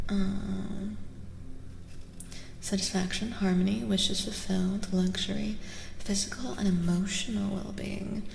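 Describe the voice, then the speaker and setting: A young adult woman speaking softly as an ASMR livestreamer and tarot reader, often dropping toward a whisper. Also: mid-range voice, creaky, a little hiss or hum. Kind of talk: soft-spoken ASMR livestream chat. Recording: reverberant echo with music behind. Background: music.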